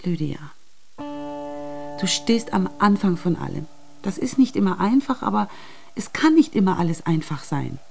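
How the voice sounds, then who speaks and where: A woman's voice: medium pitch, very animated, measured pace, creaky, pauses often, soft background music. An actress playing a middle-aged, nagging and worried mother in a naturalistic radio drama, reproachful and insistent. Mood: softer, persuading. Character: nagging, worried mother urging her grown daughter to work.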